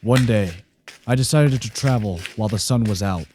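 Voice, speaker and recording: A man's voice: on the low side, moderate pace, a little mellow, slight background noise, lightly acted. A man acting a lonely, vengeful monster in a radio play, speaking in a grave, formal, aggrieved way. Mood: brooding, bitter. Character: lonely, vengeful male monster created by a scientist. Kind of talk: radio play narration and dialogue.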